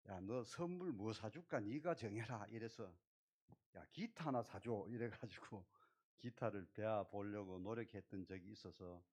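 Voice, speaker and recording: A middle-aged man, a church elder speaking modestly and haltingly from the pulpit. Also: lowish voice. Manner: soft-spoken, halting, modest. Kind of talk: testimony-style talk from the pulpit. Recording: dull lo-fi church recording.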